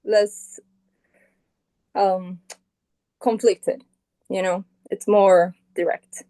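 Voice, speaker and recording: A woman's voice: medium pitch, little pitch movement, very slow, mellow, no echo. A middle-aged woman from Colombia, a founding member of a band, chatting casually in an interview and thinking aloud with plenty of fillers and restarts.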